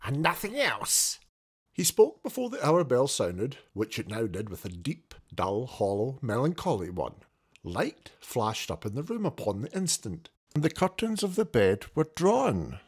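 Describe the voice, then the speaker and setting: A man reading a classic novel aloud as an audiobook, in a theatrical, expressive way. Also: medium pitch, unhurried, breathy edge. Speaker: audiobook reader. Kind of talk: dramatic narration of a classic novel.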